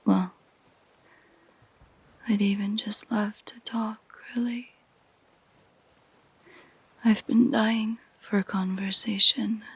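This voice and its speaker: Siren for audio roleplay, female performer: lonely, possessive, soft whispery delivery, creaky edges, unhurried and intimate. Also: medium pitch, level tone, dry recording, exaggerated voice. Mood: wistful, lonely. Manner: slow, gentle, intimate. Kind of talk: roleplay audio drama for a listener.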